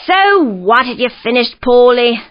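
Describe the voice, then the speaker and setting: A woman's voice: fairly high pitch, very animated, measured pace, highs cut off. A woman voicing a young London punk in a video game, with a London accent and a sardonic, offhand manner. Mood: dismissive, sardonic. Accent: London English. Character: cheeky, blunt young London punk woman, indifferent and teasing. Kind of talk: scripted video game character quips.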